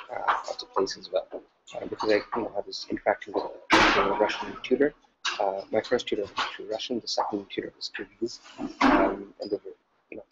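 A young man answering a question on a video call, speaking earnestly with frequent "uh"s. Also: lowish voice. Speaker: program alumnus. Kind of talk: casual Q&A answer. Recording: noisy dull video call audio.

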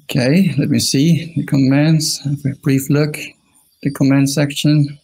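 A man's voice: on the high side, level tone, measured pace, gravelly, darkish tone, slightly rough, rough recording. A middle-aged man with an Austrian accent, a monk giving a friendly dharma talk over a video call, joking and asking his online audience questions. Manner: jokey, chuckling asides, talks to the online audience. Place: small indoor room.

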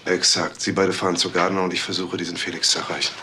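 An actor playing a grave police investigator in charge, sober and decisive, in a crime radio drama. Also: male voice, low-pitched, flat delivery, quick pace, pushed and bright, slight background noise.

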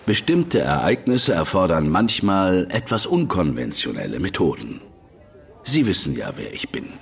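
A man playing a grave, secretive gentleman of authority in a German audio drama, terse and formal. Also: low voice, sing-song, moderate pace, creaky, full character voice. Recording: music behind the voice.

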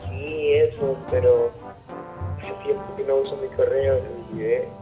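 A Peruvian man talks casually over a dull, lo-fi phone line. His delivery is quite flat and even, with music playing behind him. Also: mid-range voice, a little slow. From Peru. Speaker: prank call victim, friend of the host.

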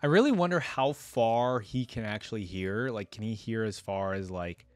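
A man's voice: on the low side, normal speed, no echo, soft background music. A man giving casual, exclamatory reaction commentary into a close desk microphone. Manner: informal, exclamatory, filler-heavy. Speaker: reaction video host.

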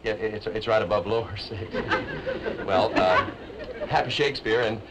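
A bashful older gentleman. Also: on the low side, wildly swooping pitch, measured pace, a loud crowd. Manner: flustered, chuckling, stammering. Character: fussy, bashful older gentleman eager to help. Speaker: train passenger. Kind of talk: 1950s television drama acting. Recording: hissy 1950s film soundtrack.

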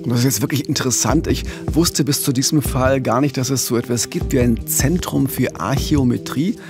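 Middle-aged male podcast host, slightly creaky voice. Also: mid-range voice, fairly quick, over music. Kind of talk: conversational true-crime podcast narration. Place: small radio studio. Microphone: studio microphone with pop filter, headphones.

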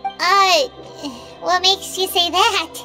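Squeaky, pert little fairy girl. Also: steady tone, very slow, pushed and bright, heavily acted. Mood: puzzled. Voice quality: squeaky, childlike. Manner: speaks of herself in third person, blunt. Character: tiny floating fairy girl companion, pert, skeptical, childlike. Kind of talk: anime-style video game acting. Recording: game music underneath.

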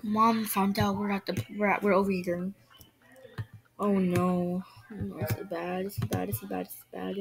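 Woman doing a guilty kid, stammering, panicky, lowered voice, comedy skit. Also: level tone, measured pace, creaky. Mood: panicked.

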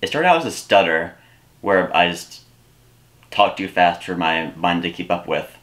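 A young man doing a scripted comedy sketch in a deadpan, rambling way, recorded indoors in a small room. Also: sits low, unhurried. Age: young adult. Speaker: comedy YouTuber.

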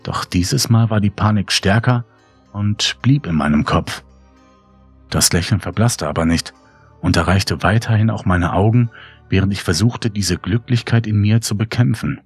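A man reading a horror story as scripted dramatic audiobook narration, grave, measured and brooding. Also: on the low side, breathy edge. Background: faint music.

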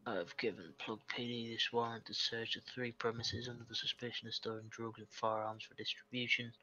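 A man's voice: medium pitch, little pitch movement, brisk, bright, forceful tone, highs cut off, slight background noise. A man speaking as a lawyer in improvised online roleplay dialogue. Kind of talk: improvised in-character game dialogue.

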